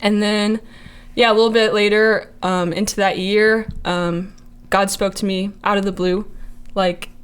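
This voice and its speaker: A woman's voice: mid-range voice, level tone, measured pace, few pauses, smooth. A young woman in her early twenties from Montana, sharing a faith testimony in casual chat, hesitant with many fillers. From Montana, USA. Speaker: ministry intern.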